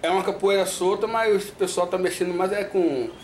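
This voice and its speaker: An older man with a Bahian accent, from Salvador, speaks softly and in a measured way in an interview. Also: high-pitched, fairly even intonation. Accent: Bahian Portuguese. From Salvador, Brazil. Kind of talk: interview testimony. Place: indoors in front of a flag backdrop. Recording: echoey room with faint noise.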